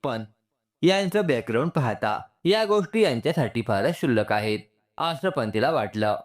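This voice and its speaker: A man narrating a scripted emotional story, voicing the dialogue in an expressive way. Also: medium pitch, moderate pace.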